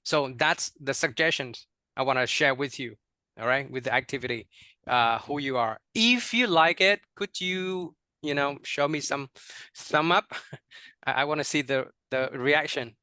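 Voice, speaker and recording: Bright, clear Vietnamese man presenting. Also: mid-range voice, unhurried, dry recording. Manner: many fillers and repeated words, checks in with "right?". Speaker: teacher trainer. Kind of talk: online workshop presentation.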